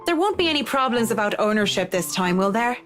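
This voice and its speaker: A courteous, slightly frayed professional woman in a visual novel. Her voice sits on the high side, and her phrasing is formal and measured. Music plays underneath. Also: big pitch swings, fairly bright tone. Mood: polite, businesslike. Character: Marianne, polite but frayed female interior designer. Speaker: interior designer character.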